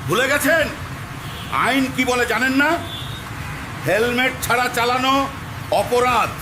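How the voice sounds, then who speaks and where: A man's voice: extremely high pitch, a little slow. A man playing a bullying, corrupt police officer with a curt, commanding way of talking, in a dramatised story. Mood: stern, bullying. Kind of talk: dramatised moral story acting. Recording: noisy track with vehicle ambience.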